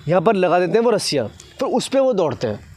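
A man's voice: sits high, sing-song, fast talker, darkish tone. A man, explaining cricket technique like a coach, often checking in with short tag questions. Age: 20s. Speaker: cricket fast-bowling coach, presenter. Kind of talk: instructional explanation to camera. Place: open grassy cricket ground, outdoors. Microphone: lapel mic.

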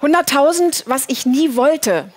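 A woman's voice: high-pitched, lively intonation, unhurried, darkish tone, faint music behind. A middle-aged woman interviewing a guest as a talk-show host. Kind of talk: interview questioning. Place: indoor talk-show studio.

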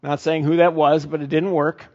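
A middle-aged man preaching a sermon and leading a closing prayer, slow and earnest with frequent pauses, on a headset mic. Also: on the high side, little pitch movement, darkish tone. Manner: slow, earnest, pausing often. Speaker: pastor. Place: church stage, indoors. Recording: dull church recording with long reverb.

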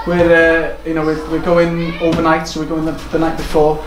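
A man's voice: fairly high pitch, completely flat delivery, normal speed. A man in his thirties, a football manager giving an earnest post-match interview in a small room. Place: small room indoors overlooking a football pitch. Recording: echoey room with background noise.

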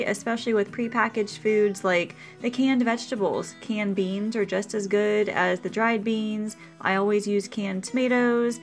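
A woman hosting a cooking video, explaining her meal prep step by step in a chatty, practical voice-over. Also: mid-range voice.

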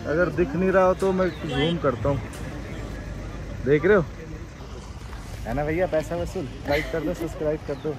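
A man's voice: fairly high pitch, steady tone, moderate pace, long pauses. A young man hosting a casual travel vlog outdoors, chatting to viewers as guys. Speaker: motovlogger host. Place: outdoors on a hill road by a car. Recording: music over outdoor vlog audio.

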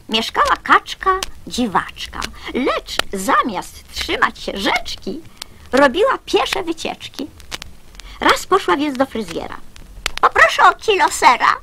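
A woman recites children's verse in a high, bright voice. She tells it theatrically and unhurriedly, on an old recording with slight hiss. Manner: theatrical, storytelling. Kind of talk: expressive children's poem recital.

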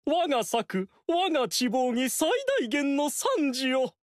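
A self-satisfied strategist who boasts of his own schemes. His pitch leaps and dips dramatically while he speaks in a slow, savoring way. The recording is close and dry. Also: expressive, no room sound, exaggerated voice. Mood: proud. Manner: boastful, theatrical, swings loud and soft. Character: theatrical vain male strategist, boastful schemer. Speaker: game character voice. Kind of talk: Japanese game dialogue acting. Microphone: dry studio mic.

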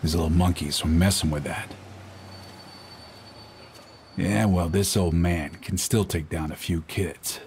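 An actor playing a gruff, weary older hired driver who narrates tersely in film noir style. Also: male voice, sits low, fairly even intonation, unhurried, pauses often, darkish tone, slight background noise. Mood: grim. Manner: hard-boiled, terse, profane. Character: gruff, weary old hired driver, world-worn tough guy.

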